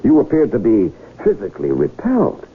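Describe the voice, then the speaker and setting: An actor playing a smooth-talking, goading male swindler in 1970s radio drama style, rhetorical and needling, with dramatic pauses. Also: mid-range voice, wildly swooping pitch. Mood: mocking, lecturing. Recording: muffled 1970s radio broadcast recording.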